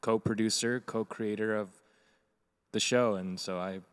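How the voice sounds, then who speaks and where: A man's voice: lowish voice, steady tone, a little slow, smooth. A man co-hosting a casual live stream, talking loosely with many fillers and reading viewer comments aloud. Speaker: co-host and co-producer.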